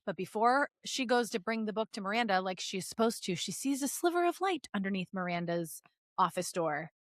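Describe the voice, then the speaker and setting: A woman's voice: mid-range voice, lively intonation, a little fast, dry recording, clean studio sound. A woman co-hosting a comedy podcast, chatting casually and reading fan fiction aloud in a playful, bawdy, giggly way. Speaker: podcast co-host.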